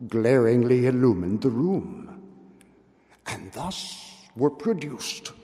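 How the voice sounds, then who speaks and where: Slow, theatrical man reading in a reverberant hall. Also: a little high, warm tone. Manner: measured, deliberate phrasing with dramatic pauses. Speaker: narrator reading a classic tale aloud. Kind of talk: theatrical dramatic literary reading of gothic fiction. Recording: long reverberant hall echo.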